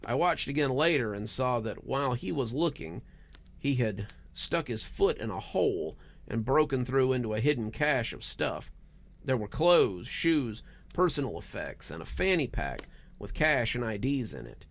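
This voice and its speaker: Male horror storyteller, lively swooping intonation, creaky voice, dramatic scripted narration. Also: medium pitch, moderate pace.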